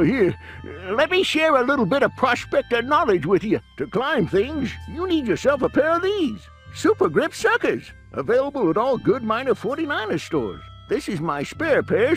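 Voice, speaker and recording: High-pitched folksy old prospector. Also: male voice, a bit bright, exaggerated voice. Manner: folksy, chuckling, rambling. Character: folksy old male gold prospector, chuckling and friendly. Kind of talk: cartoon game fan dub acting.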